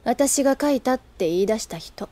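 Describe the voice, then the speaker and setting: A woman's voice: a little high, lively intonation, normal speed, dark tone, natural voice. An actress playing a candid older schoolgirl in an anime audio drama, languid and teasing with a wistful streak. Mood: candid, calm.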